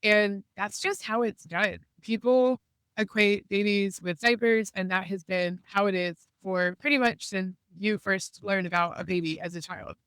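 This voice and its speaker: A woman's voice: mid-range voice, a little slow, fairly bright tone, clear, resonant tone, dry recording. Congested woman hosting a podcast. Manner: earnest, encouraging, explaining. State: congested from a cold. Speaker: podcast host. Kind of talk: casual podcast monologue. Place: in a car while driving.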